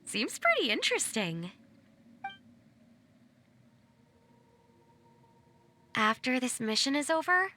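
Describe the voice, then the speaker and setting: A woman's voice: fairly high pitch, pushed and bright, a little hiss or hum, full character voice. A woman voicing an aloof, sardonic young woman in a video game, dry and dismissive. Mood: nonchalant.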